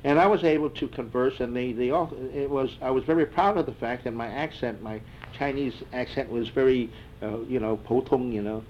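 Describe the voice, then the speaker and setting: An older man in an oral history interview, answering reflectively and haltingly with many fillers and restarts. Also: medium pitch. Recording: dull lo-fi tape with faint hiss.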